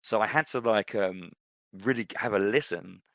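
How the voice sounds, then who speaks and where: A man's voice: lowish voice, normal speed, creaky, a bit bright, dry recording, studio quality. A man co-hosting a podcast, chatting casually and rambling with many fillers about films. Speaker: podcast co-host.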